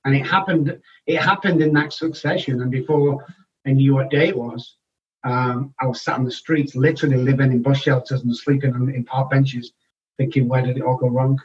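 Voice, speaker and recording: A man's voice: medium pitch, steady tone, normal speed. A middle-aged man from England with a Manchester accent, speaking frankly and matter-of-factly as an interview guest. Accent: Manchester English. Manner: frank, matter-of-fact, with restarts. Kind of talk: candid personal storytelling. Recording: distant, dull-sounding remote recording.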